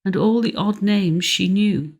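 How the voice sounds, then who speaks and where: A woman's voice: medium pitch, a little slow, darkish tone. A woman reading scripted narration as an audiobook narrator.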